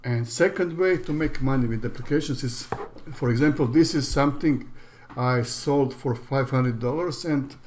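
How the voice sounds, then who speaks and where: A man from Croatia with a Croatian accent, a native Croatian speaker, talking through a screen-share tutorial as an online course instructor in a halting, plain way with frequent restarts. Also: medium pitch, a little mellow, slight background noise.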